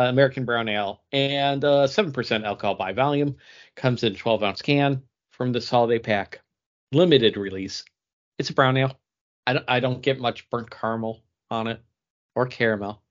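A man's voice: medium pitch, moderate pace. A man co-hosting a podcast, talking in a casual, conversational style. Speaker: podcast co-host. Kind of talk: casual chat. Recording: dull lo-fi audio.

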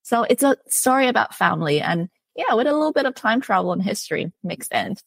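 A woman's voice: fairly high pitch, very animated, quick pace, vocal fry, husky, no echo. A young woman from Thailand, an author being interviewed, chatty and friendly, full of "like" and "um". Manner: friendly, chatty, many "like" and "um" fillers. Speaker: author and guest. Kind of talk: casual interview chat.

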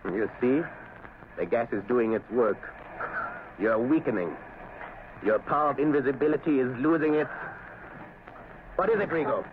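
An actor playing a coldly confident villainous doctor in 1940s radio drama style, speaking formally and deliberately with menace. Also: male voice, mid-range voice, close to an everyday voice. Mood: urgent menace. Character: suave sinister male doctor, coldly confident saboteur villain. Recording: noisy tinny vintage radio recording.

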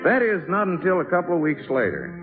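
An actor playing a wry, plain-spoken American jazz musician who narrates a 1950s radio drama. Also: male voice, high voice, wildly swooping pitch, quick pace. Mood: reflective. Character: wry, easygoing jazz musician telling his friend's story. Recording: muffled old radio, loud music behind.

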